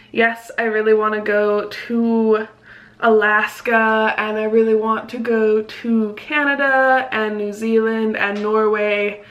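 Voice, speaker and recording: A woman's voice: mid-range voice, a bit bright. A young woman from Mexico chatting casually in a vlog, reading out viewer questions and answering them, with lots of "like" fillers. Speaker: vlogger.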